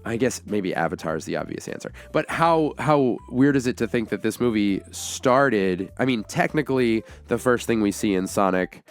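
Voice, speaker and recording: A man's voice: fairly low pitch, lively intonation, moderate pace, few pauses, faint music behind. A man co-hosting a film discussion, speaking in an informal, upbeat and slangy way. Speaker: co-host of a movie review show.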